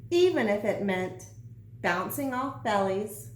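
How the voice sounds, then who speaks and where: A woman teacher reading a children's story aloud with deliberate, emphatic phrasing. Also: medium pitch, expressive. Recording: roomy echo, some background noise.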